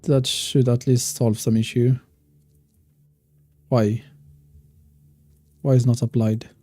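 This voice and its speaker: Dark-voiced man streaming a game. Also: medium pitch, level tone, soft background music. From Morocco. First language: Moroccan Arabic. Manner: thinks aloud, chats with viewers, halting non-native phrasing. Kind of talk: casual live-stream gameplay commentary.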